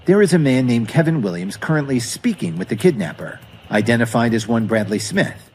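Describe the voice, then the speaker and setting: A man's voice: medium pitch, very animated, fairly quick, a little mellow, a little hiss or hum, close to an everyday voice. A man playing a dutiful police officer reporting to a superior in an audio drama, formal and clipped.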